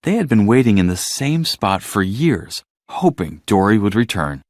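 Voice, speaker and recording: Male storybook narrator for children: dramatic, highly animated delivery at a middle pitch, recorded dry. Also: moderate pace, dry recording.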